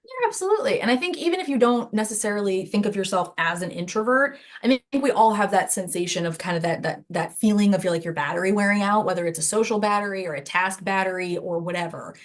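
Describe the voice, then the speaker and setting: A woman moderates a casual online session. Her voice sits at an ordinary pitch, and she talks informally, rambling a little as she guides the discussion on a video call. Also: quick pace, few pauses. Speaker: host of an online learning session.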